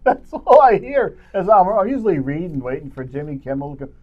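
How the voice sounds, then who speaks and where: A man's voice: sits high, fairly quick, muffled recording. A man co-hosting a talk show, grumbling and complaining in casual banter.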